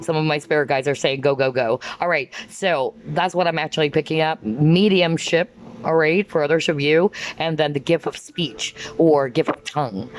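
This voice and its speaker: A woman giving a rambling spiritual card reading, filling her talk with "like" and "um" as she pauses to pick up messages. Her voice is going hoarse. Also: low voice, a little hiss or hum, low-quality recording. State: losing her voice. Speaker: tarot card reader.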